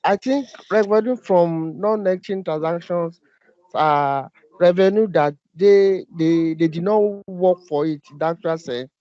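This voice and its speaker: A man's voice: high-pitched, a little slow, mellow, dry recording, dull audio. A man answering a question in an online class, tentative and repeating words. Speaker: student. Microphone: video call.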